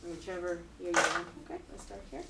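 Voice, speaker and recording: A woman's voice: fairly low pitch, expressive, brisk, gravelly. A woman teaching an English class, patiently explaining and prompting her students in a classroom. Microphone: far-off recorder. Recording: roomy, distant, noisy classroom recording.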